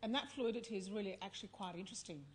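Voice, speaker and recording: A woman's voice: mid-range voice, very fast. A woman chairing a festival panel, posing questions and musing aloud in a curious, chatty way with frequent fillers. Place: festival venue before an audience.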